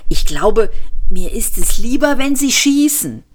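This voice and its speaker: A woman's voice: fairly high pitch, sing-song, measured pace, voice pushed up. A woman reading an audiobook, voicing a casual, flippant adult man. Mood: offhand. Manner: offhand, flippant.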